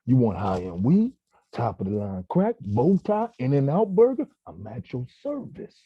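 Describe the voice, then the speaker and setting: An actor at a table read playing a slick street hustler pitching himself as a bodyguard, in a smooth salesman patter. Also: wildly swooping pitch, measured pace, dry recording, full character voice. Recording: dull, dry lo-fi audio.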